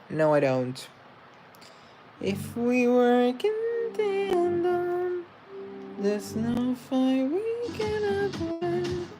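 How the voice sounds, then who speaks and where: A man chatting casually on a livestream, reading comments aloud and answering them with self-mocking humor. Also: very high-pitched. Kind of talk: casual live chat.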